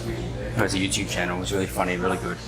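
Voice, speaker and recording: A man's voice: low voice, completely flat delivery, fast, over music. A man co-hosting a casual opinionated talk show, blunt and teasing, swearing freely. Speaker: co-host. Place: bakery, among other people. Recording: busy public room with chatter.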